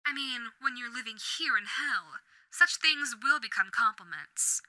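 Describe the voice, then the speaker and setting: A woman's voice: fairly high pitch, lively intonation, unhurried, bright, forceful tone, no echo, heavily acted, low-quality recording. A woman voicing a charming, manipulative female overlord demon in an audio roleplay, speaking in a theatrical, coaxing way with whispered asides. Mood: sweetly menacing. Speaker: voice actor.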